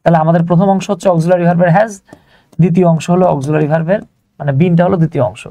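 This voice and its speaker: A Bangladeshi man speaks in a fairly high but mellow voice, recorded close and dry. He teaches grammar to online students informally, breaking things into steps. He often asks a question and then answers it himself. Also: fairly even intonation, rapid-fire, no room sound. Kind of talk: live online lesson, explaining step by step to students.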